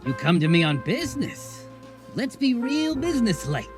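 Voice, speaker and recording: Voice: mid-range voice, very animated, normal speed, lightly acted. An actor playing a world-weary neighbourhood bartender with folksy wiseguy phrasing in a noir audio drama. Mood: wry. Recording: music bed under the voice. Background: music.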